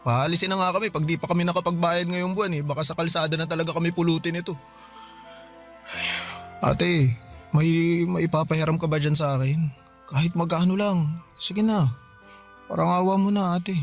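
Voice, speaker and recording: A broke younger brother, played by a man with a fairly high voice, defensive and whiny as he begs for help. Soft music runs behind him in a radio drama. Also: fairly even intonation, fairly quick, pauses often, lightly acted. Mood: pleading. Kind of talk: Filipino radio drama acting.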